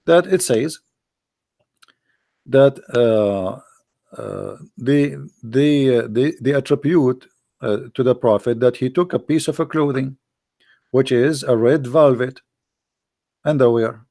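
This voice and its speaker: Creaky, sarcastic male talk show host. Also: mid-range voice, takes it slowly, long pauses, mellow, no echo. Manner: mocking, sarcastic, with a non-native grammar. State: losing his voice.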